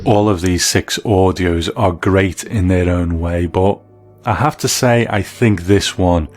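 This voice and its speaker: A man's voice: sits low, measured pace, a little mellow, soft background music. A man hosting and reviewing in a scripted documentary narration style. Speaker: host and reviewer.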